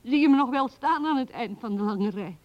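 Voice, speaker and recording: Actress as earnest lovesick young woman in a radio play, highish voice, plaintive n insistent, muffled old recording. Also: animated, fairly quick, lightly acted. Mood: dreamy, restless. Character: young Anna, earnest woman longing to be loved. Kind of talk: radio drama dialogue. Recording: dull 1970s radio recording.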